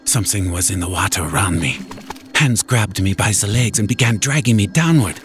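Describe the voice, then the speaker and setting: Male horror story narrator, dramatic scripted reading, very lively intonation, background music. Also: fairly low pitch, a little fast.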